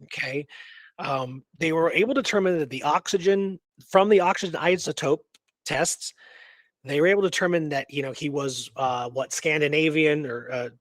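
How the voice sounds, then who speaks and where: A man's voice: a little high, normal speed, a bit bright, no echo. A man hosting a radio show, retelling a historical case in casual, slangy talk with emphatic repeated phrases.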